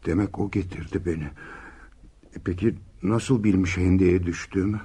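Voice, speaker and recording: An actor playing a confused, self-pitying drunkard father in a radio play, with halting, groaning delivery. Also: sits low, steady tone, mellow, breathy edge. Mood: dazed, confused. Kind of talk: Turkish radio theatre acting.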